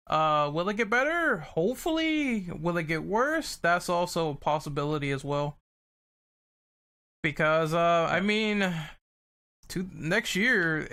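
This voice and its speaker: Guy hosting a podcast chat, kinda high crisp voice, informal and opinionated. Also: bright, forceful tone. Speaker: podcast host. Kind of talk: casual panel discussion.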